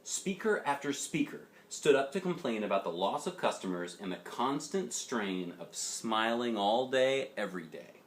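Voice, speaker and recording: A man narrates a children's picture book in a highly animated voice. He leans on key words dramatically, then slips into casual asides and questions to whoever is listening. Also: normal speed, hardly any pauses. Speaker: dad reading a picture book aloud, show host. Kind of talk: expressive children's storybook narration with chatty asides.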